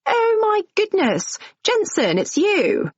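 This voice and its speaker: A woman voicing a bold, pushy middle-aged woman who talks eagerly and fast. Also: medium pitch, lively intonation, breathy edge, no room sound, dull audio. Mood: gushing.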